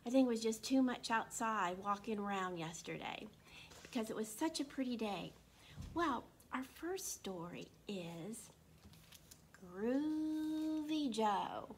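A woman in her sixties with a slightly froggy throat, hosting an interactive read-aloud for young children in an animated, encouraging way. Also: on the high side. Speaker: children's storytime host at a library. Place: small indoor room. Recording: constant room noise.

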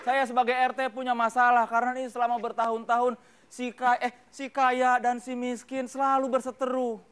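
High-voiced joking man on a TV stage. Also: little pitch movement, fairly quick, clean tone. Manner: joking, teasing the audience. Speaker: stand-up comedy host playing a neighborhood chief. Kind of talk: stand-up comedy hosting. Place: large TV studio stage with audience. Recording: TV studio with loud crowd laughter and noise.

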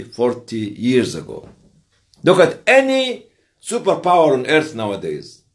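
Man, 60s, lecturer, emphatic halting phrases, very lively intonation, explanatory delivery. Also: a little high. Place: small office, indoors. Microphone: camera or phone on the desk, a short distance away.

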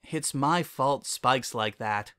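A man's voice: medium pitch, slow pace, a bit bright, smooth, dry recording, clean studio sound. A man voicing an earnest, anxious young female pony student in a storybook reading, with a self-doubting manner. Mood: guilty, embarrassed. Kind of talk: storybook character dialogue.

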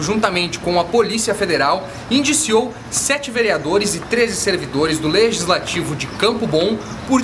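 High-voiced man reading a news report. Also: noisy background. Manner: formal scripted reading.

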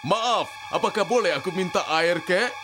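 A man's voice: high voice, moderate pace, natural voice. A man voicing a flustered, comic middle-aged neighbour in a dubbed children's cartoon, whiny and exclamatory. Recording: music playing underneath.

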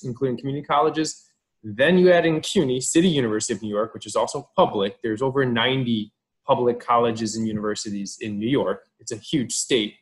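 A man speaking as an admissions officer on a video call panel. He explains things in a friendly, conversational way at a medium pitch.

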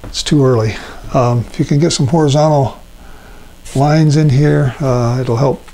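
Older man, painting teacher, gravelly voice, thinking aloud with ums, studio demo. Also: medium pitch, a little slow, warm tone, low-quality recording. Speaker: painting instructor. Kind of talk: live painting demonstration. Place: art studio at a painting table.

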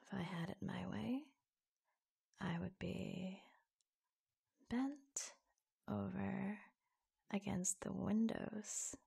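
A woman playing a flirtatious, teasing office lover in an intimate ASMR roleplay, breathy and husky, often dropping to a whisper. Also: mid-range voice, steady tone, mellow, exaggerated voice. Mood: sultry, hushed. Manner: slow, coy, teasing, giggly. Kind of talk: ASMR roleplay, intimate romantic audio drama.

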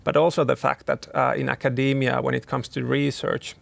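A man's voice: medium pitch, little pitch movement, fairly quick, vocal fry, dry recording. A man in his thirties, a company CEO, answering panel questions in a hesitant way with many restarts and fillers. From Finland.

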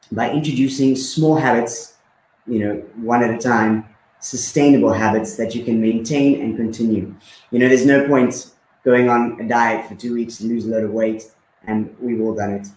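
Relaxed man from Zimbabwe in an echoey room. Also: medium pitch. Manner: relaxed, with many fillers and repeats. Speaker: guest. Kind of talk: casual conversation.

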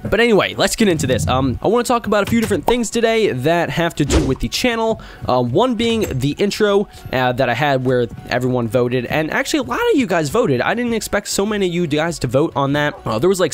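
Hoarse young man, chatty game commentary. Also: fairly high pitch. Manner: chatty, excitable, talks to opponents. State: hoarse from a sore throat. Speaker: gaming YouTuber. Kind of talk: casual gameplay commentary.

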